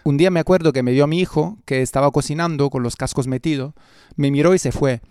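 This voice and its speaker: A man's voice: a little high, fast talker, dark tone, smooth, no room sound, clean studio sound. A middle-aged man presenting a radio programme as its host in a scripted style.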